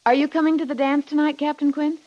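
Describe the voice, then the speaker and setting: An actress playing a polite, curious young niece of an army major in a 1950s radio western. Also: female voice, sits high, flat delivery, quick pace, natural voice. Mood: bright, sociable. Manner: genteel, inquisitive. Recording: dull lo-fi 1950s radio recording.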